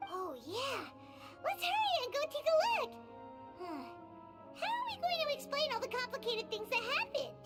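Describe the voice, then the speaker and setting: A woman's voice: very high voice, very animated, normal speed. A woman voicing a tiny chatty female fairy companion who speaks of herself by name, with game music behind. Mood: eager.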